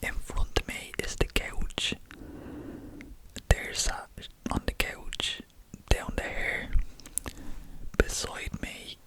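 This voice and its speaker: A young man with an Irish accent, whispering an animated story to his viewers and swearing casually as he goes. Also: very slow, long pauses, rough recording. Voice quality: whispering throughout. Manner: animated, swears casually, addresses listeners as lads. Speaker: vlogger telling a story.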